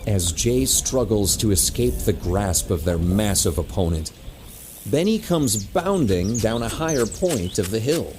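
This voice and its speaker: A man's voice: lowish voice, expressive, a little slow, a little mellow, a little hiss or hum. A man reading scripted scene narration for an audio drama.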